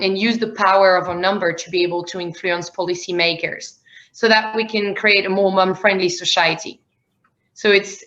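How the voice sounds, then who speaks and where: French-accented woman, bright, lo-fi sound. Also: medium pitch. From France. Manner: earnest, frequent "uh" and "um" fillers. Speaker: guest, business founder. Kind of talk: podcast interview.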